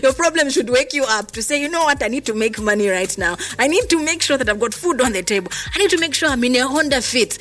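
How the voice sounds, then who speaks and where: A woman radio host with a Zambian accent speaks in a fairly high voice over music. She presents live in a chatty way, slipping between announcing and casual conversation. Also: animated, fast, few pauses, a bit bright. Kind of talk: live radio promotion and prize phone calls. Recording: music behind the voice.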